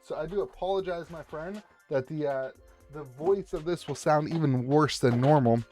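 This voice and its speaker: A hoarse young man who is losing his voice, hosting a casual, chatty card pack opening and talking straight to the viewer. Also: on the high side, moderate pace, mellow, faint music behind. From United States. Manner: chatty, calls out foils and talks to the viewer. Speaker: channel host opening card packs.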